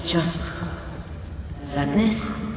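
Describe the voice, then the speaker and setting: An actor voicing a mysterious, gentle young creature in a video game, speaking in halting simple sentences with an otherworldly echo. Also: male voice, fairly high pitch, noisy background. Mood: solemn, gentle. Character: mysterious young Dark One creature child, gentle and solemn. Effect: echoing otherworldly telepathic reverb. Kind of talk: video game cutscene acting. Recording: long reverb, music behind.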